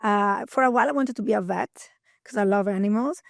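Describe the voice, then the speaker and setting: A woman around fifty with an Italian accent, talking in an interview. She is energetic and chatty and laughs often as she tells her story. The sound is dry and close, with no room echo. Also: mid-range voice, quick pace, creaky. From Italy. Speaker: guest. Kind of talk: interview conversation.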